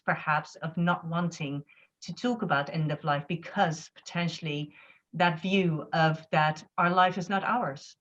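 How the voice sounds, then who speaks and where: A woman's voice: on the low side, a bit bright. A woman with a Dutch accent, a native Dutch speaker, asking a panel a moral question in a direct, earnest way over a video call. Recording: dull lo-fi audio.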